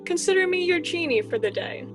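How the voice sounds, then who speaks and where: A woman's voice: very high-pitched, animated, fairly quick. A woman playing a fretful, exasperated sun-god father in an amateur student radio drama. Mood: exasperated pleading. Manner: exasperated, theatrical parental fussing. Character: fretful sun-god father scolding his teenage son. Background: music.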